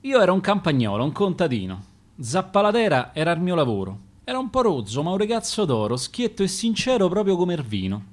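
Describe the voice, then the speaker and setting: A man with a Roman accent playing a rough, sincere young farmhand telling his story in verse. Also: high voice, big pitch swings, a little fast, pitched above the performer's own voice. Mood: proud, wistful. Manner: plain-hearted storytelling in verse.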